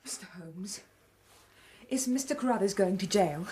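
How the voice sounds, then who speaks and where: An actress in a British radio drama playing a wronged young gentlewoman, hurt, proud and indignant, with a breathy, half-whispered British voice. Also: mid-range voice, expressive, normal speed. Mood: shocked reproach.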